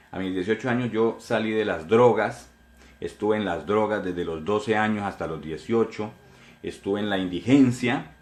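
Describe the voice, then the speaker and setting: A man's voice: deep voice, echoey room. A man in his forties with a Colombian accent, a pastor giving an earnest testimony in a sermon, addressing listeners directly and often repeating himself. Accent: Colombian Spanish. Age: 40s.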